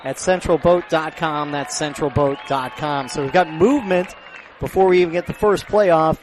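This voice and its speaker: A man's voice: on the high side, moderate pace, a faint crowd. A man giving live radio play-by-play commentary at a sports venue, projecting his voice in urgent, clipped phrases.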